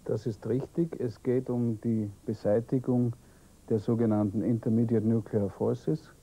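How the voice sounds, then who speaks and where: A man, a military analyst answering interview questions in a measured, matter-of-fact way. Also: medium pitch, little pitch movement, mellow, husky, poor audio. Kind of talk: studio interview. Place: TV studio.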